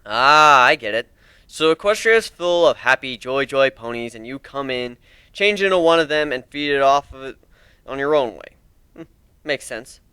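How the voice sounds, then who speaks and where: A man's voice: medium pitch, expressive, moderate pace, pushed and bright, clean tone, no room sound. A man voicing a cocky, menacing male griffin warrior, taunting and sarcastic, in a dramatic fantasy story reading.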